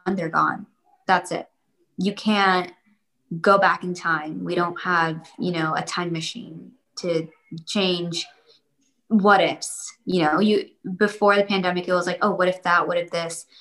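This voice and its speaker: A woman's voice: on the low side, sharp tone, rough recording. A young woman answering interview questions casually, rambling and repeating herself with many fillers.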